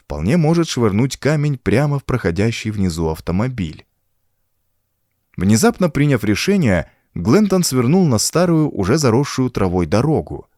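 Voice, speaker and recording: A man narrates a suspenseful horror story in a fairly low voice. His intonation swings widely and expressively, and the recording is dry and close, with almost no room sound. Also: a little mellow, dry recording. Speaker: audiobook narrator.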